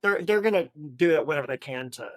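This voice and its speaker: A woman's voice: sits low, animated, fast talker, dry recording. A woman co-hosting a book discussion, talking in a casual, conversational way. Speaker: book podcast co-host.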